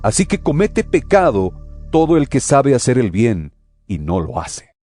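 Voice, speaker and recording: A man playing a stern, fervent apostle in an audio Bible drama, reading scripture in a solemn, emphatic and deliberate way. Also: medium pitch, wildly swooping pitch, darkish tone, no room sound. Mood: admonishing. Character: stern, fervent male apostle exhorting believers. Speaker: narrator reading a Bible epistle. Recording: studio audio drama with music behind. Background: music.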